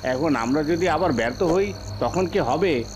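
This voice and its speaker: A man's voice: on the high side, lively intonation, a little slow, noisy background. A man voicing a deferential, doubtful henchman in a fairy tale cartoon, respectful and cautious.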